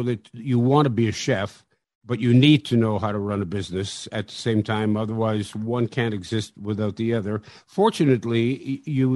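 A man hosting a podcast interview, asking questions with frequent halting restarts. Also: medium pitch, darkish tone, dry recording. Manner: halting, repeats words. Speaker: podcast host.

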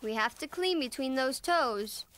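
A woman's voice: sits high, expressive, measured pace, a bit bright, slight background noise. A woman voicing a playful, eager young boy bear cub in a children's cartoon, with a bubbly, singsong way of talking. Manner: bubbly, singsong, giggly play-acting. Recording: music behind the voice.

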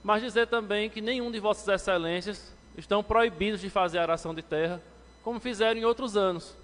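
A man in his thirties from Brazil, a city councillor giving a formal speech at a lectern to the council, addressing the chair and rising in pitch when he presses a point. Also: brisk, a bit bright. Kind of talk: legislative floor speech. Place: council chamber, indoors, medium-sized. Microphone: lectern microphone, standing. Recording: long reverb from a council chamber.

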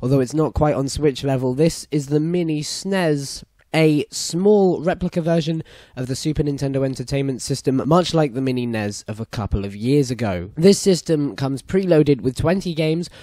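A young British man with a croaky voice hosting a solo gaming news podcast, chatty and opinionated. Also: medium pitch, warm tone, no room sound. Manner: chatty, opinionated, apologetic asides. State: hoarse, croaky voice after a concert. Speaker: podcast host.

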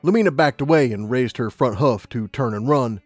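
A man's voice: mid-range voice, normal speed, dry recording, soft background music. A man reading scripted fiction as an audiobook narrator.

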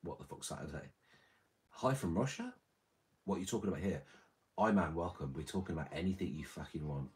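A man in his thirties with a very deep, slightly damaged voice, chatting casually and crudely while reading his livestream chat in a small room. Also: brisk, long pauses, darkish tone. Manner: rambling, crude, jokey. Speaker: livestream host. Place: small room with red curtain and red light.

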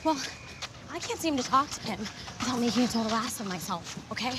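A sarcastic, determined American high school girl acted by a young woman with a fairly high voice. She is blunt, wry and wordy, in natural film-comedy style. It is recorded outdoors over clearly noticeable background noise. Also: expressive, fairly quick, lightly acted. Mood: earnest, frustrated. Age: young adult. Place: open outdoor sports field.

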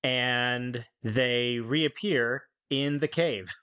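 A man's voice: medium pitch, very slow, sharp tone, clean tone, dull audio. A man narrating a tabletop game scene dramatically, as game master.

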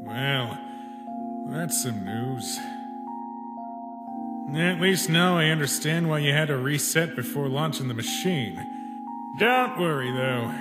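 A man voicing a laid-back, joking skeleton in a comic dub, casual and deadpan. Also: fairly high pitch. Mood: calm, resigned. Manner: unhurried, deadpan. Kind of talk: comic dub character acting. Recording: echoey reverb, music behind.

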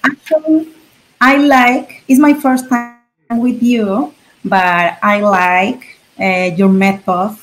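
A woman, practicing English on a video call in a hesitant, halting way. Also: fairly high pitch, fairly even intonation, low-quality recording. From Peru. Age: 30s. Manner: hesitant, halting, with shy laughs. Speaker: student. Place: small room indoors. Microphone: laptop webcam on video call.

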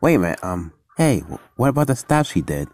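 A man playing an excitable, flustered young video-game adventurer in an amateur cartoon skit, stammering and exclaiming. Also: mid-range voice, fairly quick, a little hiss or hum, close to an everyday voice. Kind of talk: amateur animated skit dialogue.

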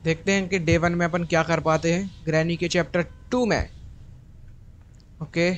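A man's voice: fairly high pitch, fairly even intonation, normal speed. A young man giving casual commentary as host of a gaming video, with game music behind him.

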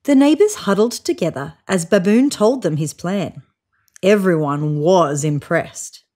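A woman in her thirties reading a children's picture book aloud on a sofa, with voiced sound effects and hushed whispers. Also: lowish voice, expressive, measured pace. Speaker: storybook reader. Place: indoors on a sofa.

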